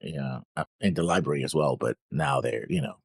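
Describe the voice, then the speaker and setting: A man co-hosting a reaction show in casual chat, halting and often trailing off. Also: very deep voice, creaky, husky, no echo, clean studio sound. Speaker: co-host of anime reaction show.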